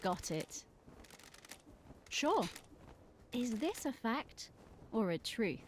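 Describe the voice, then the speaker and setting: Slow, expressive woman investigator. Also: on the high side, slight background noise, heavily acted. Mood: cool, measured. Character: cool, suspicious woman investigator in a mystery game. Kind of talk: short video game voice barks.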